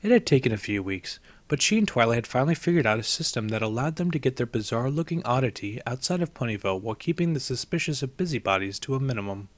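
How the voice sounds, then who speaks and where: Man narrating a story, mid-pitched. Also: expressive, fast, hardly any pauses. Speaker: story reader. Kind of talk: scripted storybook narration of fan fiction.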